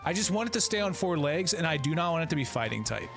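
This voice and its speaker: Chill guy in his 30s streaming, slightly high voice, chats with viewers, faint music behind. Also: big pitch swings, quick pace, creaky. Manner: laid-back, chatty, greets and answers chat viewers. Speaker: game streamer. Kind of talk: casual live-stream chat while playing.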